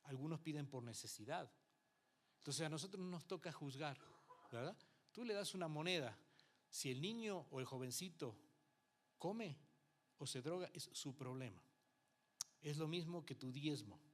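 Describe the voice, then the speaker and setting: A man's voice: fairly high pitch, expressive, normal speed, long pauses. A man from Uruguay, a pastor, teaching a Bible class in church in Uruguayan Spanish with some Mexican influence, talking with the congregation and acting out what people say. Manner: conversational preaching with frequent rhetorical checks and acted-out quotes.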